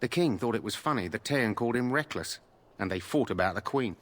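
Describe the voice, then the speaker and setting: A man's voice: medium pitch, lively intonation, a little fast, fairly bright tone, natural voice. A man playing a dutiful but gossipy royal guard in a fantasy video game, formal and matter-of-fact. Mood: dutiful, confiding.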